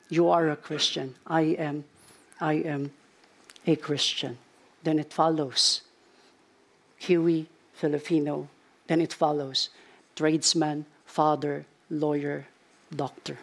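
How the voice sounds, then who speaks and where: A man delivering the close of a church sermon and leading a prayer. His voice sits a little high and has a gravelly edge. He speaks earnestly, stacking repeated phrases for emphasis. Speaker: pastor.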